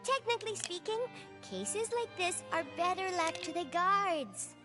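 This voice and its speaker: A fussy young court secretary, fretful and exasperated. Her voice is high and swings widely. Game music plays clearly behind her. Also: sing-song, measured pace, heavily acted. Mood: flustered.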